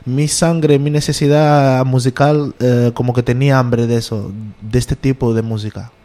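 A man's voice: mid-range voice, fairly even intonation, normal speed, dark tone. A man from Portugal, a native Portuguese speaker with a Portuguese accent, talking as a guest musician in a casual radio interview, often hesitating and repeating words as he searches for them.

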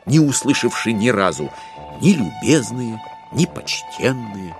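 A man narrating a dramatised literary audiobook in an expressive, measured storytelling manner. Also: mid-range voice, over music.

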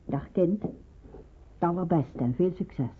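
A woman's voice: on the low side, slow, narrow, tinny audio, a little hiss or hum. An actress playing a motherly, careful resistance helper, caring and matter-of-fact, in an old radio drama recording.

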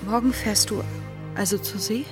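A woman acting a defiant young woman yearning for adventure in a German radio drama, impassioned and expressive. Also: mid-range voice, moderate pace, music in the background, close to an everyday voice. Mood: teasing, taken aback. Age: young adult.

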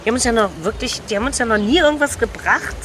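An actress playing a pushy, flippant wife, dismissive and cajoling, in naturalistic radio drama dialogue. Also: female voice, fairly high pitch, rapid-fire, fairly bright tone, close to an everyday voice. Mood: defiant, dismissive. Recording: noisy outdoor street with traffic.

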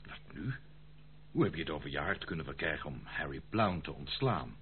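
An actor playing a charming, spendthrift prodigal son in an old Dutch radio play, pleading in a theatrical, hesitant way. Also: male voice, very deep voice, animated, fairly quick. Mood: concerned. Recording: muffled phone-like old radio recording, hum.

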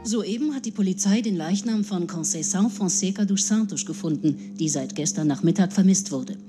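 An actress playing a sober newsreader delivering a formal, factual crime bulletin within a radio drama. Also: female voice, lowish voice, a little fast, over music, natural voice. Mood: grave, factual.

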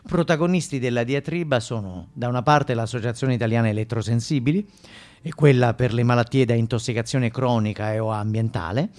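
A man's voice: mid-range voice, brisk, darkish tone, close to an everyday voice. A man playing a formal TV news anchor, reading scripted reports in an announcer-like way for a radio play. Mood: formal, measured.

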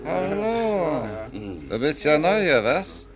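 A man's voice: on the high side, very animated, slow, heavily acted. An actor playing a smug, slick small-time crook and ladies' man with condescending, oily flirting in a 1950s radio play. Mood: breezy, condescending. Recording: old radio drama with loud music.

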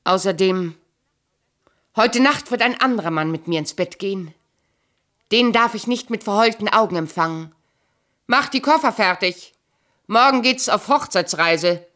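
An actress playing a proud, hard, aging Greek wife in a German radio play, commanding, curt and bitter. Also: female voice, mid-range voice, animated, a little slow, long pauses, fairly bright tone. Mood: hard, resolute. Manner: imperious, curt, scornful, then wistful.

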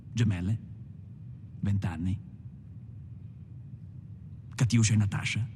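Mellow, reflective ex-gangster. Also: male voice, lowish voice, a little hiss or hum. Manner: halting, reflective, measured. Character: freed ex-gangster turned painter, male, weary and wry. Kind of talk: dramatised first-person film monologue. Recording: music behind the voice.

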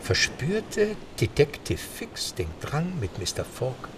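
A man's voice: mid-range voice, huge pitch swings, takes it slowly, distant mic, noisy background. A man narrating a scripted adventure story in a dramatic storytelling style.

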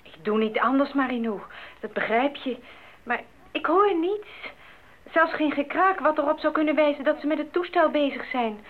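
An actress playing a worried missionary wife at a radio post in a Dutch radio drama. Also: female voice, sits high, expressive, moderate pace. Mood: anxious, controlled. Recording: muffled, narrow phone-like radio play recording.

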